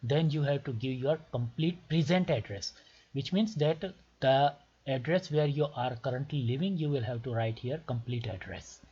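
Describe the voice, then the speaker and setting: Male tutorial presenter, Pakistani accent, Urdu native. Slightly low, husky voice, deliberate step-by-step delivery. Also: darkish tone. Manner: deliberate, repetitive explaining with short phrases. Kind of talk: step-by-step instructional screen tutorial. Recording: muffled lo-fi voice recording.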